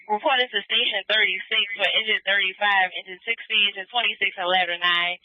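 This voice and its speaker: A man working as a fire dispatcher, giving formal, measured procedural announcements over two-way radio. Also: sits high, steady tone, no room sound. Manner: measured, procedural, reads out units and numbers. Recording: phone-like fire scanner radio audio.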